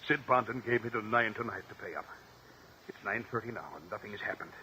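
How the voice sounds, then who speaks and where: An actor playing an anxious crooked American politician in a mid-century radio drama, with a tense, clipped delivery. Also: male voice, on the low side, fast, bright, forceful tone. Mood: tense, grim. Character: anxious crooked male politician in debt to gamblers. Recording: noisy old radio drama recording.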